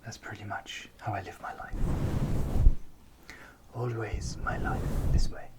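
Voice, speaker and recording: A man whispers ASMR-style right up against a fluffy-covered microphone, his voice low and breathy. He rambles gently, slipping in playful, self-mocking asides. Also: level tone, unhurried. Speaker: ASMR creator. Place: filming himself on a phone. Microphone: close microphone with fluffy windshield.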